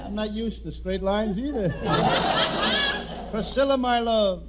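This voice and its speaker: A man's voice: high-pitched, measured pace, over a noisy crowd. A man playing a cheeky, bumbling, wisecracking colonial suitor in a clownish 1940s radio comedy style. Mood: mock romantic. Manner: clownish, mock-romantic wisecracking. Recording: tinny, very noisy old radio recording.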